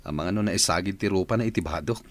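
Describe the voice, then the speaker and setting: A man's voice: low-pitched, racing, natural voice. A man playing an easygoing, affectionate but evasive husband and father in an Ilocano radio drama.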